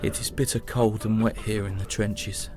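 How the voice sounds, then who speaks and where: Guy playing tired young british soldier reading his war diary, low even voice, mournful, music behind. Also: lightly acted. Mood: bleak, weary. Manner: solemn, measured, mournful. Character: weary, grieving young British soldier writing a war journal. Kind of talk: dramatic first-person journal narration.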